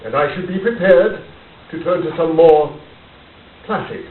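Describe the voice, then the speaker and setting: A man acting in a radio drama as a driven, weary, guarded gentleman detective, speaking in a formal, grave, deliberate and dramatic way. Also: fairly high pitch, animated. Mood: grave, intense. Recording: tinny band-limited, roomy, distant, faint hiss.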